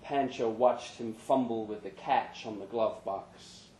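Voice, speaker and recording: A man's voice: fairly low pitch, a little slow, a little mellow, slight background noise. Man reading fiction aloud, echoey room. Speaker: reader of short fiction. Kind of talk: scripted narration, live story reading. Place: pub event, indoors.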